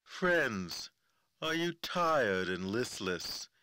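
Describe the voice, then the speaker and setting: An actor playing a grandiose old-time radio serial announcer, selling with theatrical salesmanship. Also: male voice, medium pitch, very animated, drawn-out words, slight background noise, heavily acted. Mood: grandiose hype. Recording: loud music under the voice. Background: music.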